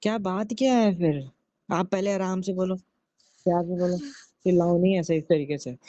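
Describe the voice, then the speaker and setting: A man acts out a concerned young husband in a homemade couple skit. He has a mellow, dark tone and a coaxing, chiding manner, repeating his pleas. The audio is dry and somewhat lo-fi. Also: sits high, moderate pace, dry recording, close to an everyday voice. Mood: soothing, impatient. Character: concerned young husband, soothing yet scolding his wife. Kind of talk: acted phone-recording style couple skit in casual speech. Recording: dull, lo-fi audio.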